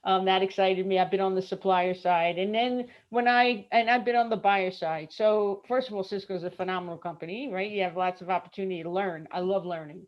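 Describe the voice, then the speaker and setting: A middle-aged woman with a Brooklyn accent, chatting lively as an interview guest on a video call and laughing often. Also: medium pitch, brisk, hardly any pauses, highs cut off. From Brooklyn, New York. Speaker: guest, travel industry consultant. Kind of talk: casual interview chat.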